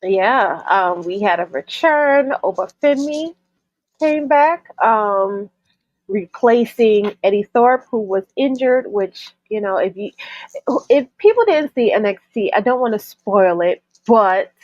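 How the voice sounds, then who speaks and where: Female co-host from New York, stuffy with a cold, slow and rambling, dull dry lo-fi sound. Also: medium pitch, lively intonation, darkish tone, dry recording. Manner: chatty, with frequent ums and restarts. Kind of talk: casual chat.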